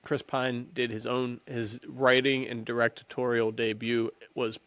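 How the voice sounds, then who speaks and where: Guy podcast host w lots of vocal fry, just chatting movies, slangy n halting. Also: medium pitch, level tone, fairly bright tone, no room sound. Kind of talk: casual movie chat.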